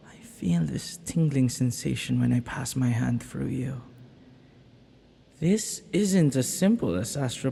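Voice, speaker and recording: Slow, flirty villain played by a man, softly mellow. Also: mid-range voice, vocal fry, slight background noise. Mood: intrigued, scheming.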